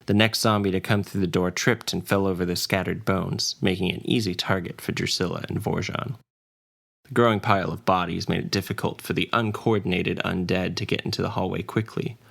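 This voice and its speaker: Low, creaky-voiced male fantasy narrator. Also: fairly even intonation, a little fast, a bit bright. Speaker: narrator and dungeon master. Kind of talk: scripted fantasy story narration. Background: faint ambience and music.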